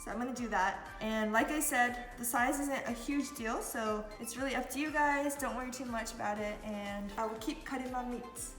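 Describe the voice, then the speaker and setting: A woman's voice: high voice, fairly bright tone. Chatty woman, echoey kitchen, background music. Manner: chatty, loose, many fillers. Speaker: cooking vlogger. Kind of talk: casual cooking tutorial. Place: home kitchen.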